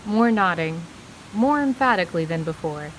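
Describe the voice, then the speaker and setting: A woman narrating scripted fantasy in a measured, literary storytelling manner. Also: fairly low pitch, lively intonation, very noisy.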